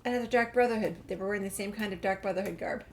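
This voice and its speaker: A woman's voice: mid-range voice, racing, a little hiss or hum. A woman giving casual video game commentary, chatty and musing to herself as she plays. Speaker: gamer, channel host. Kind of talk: casual let's play commentary.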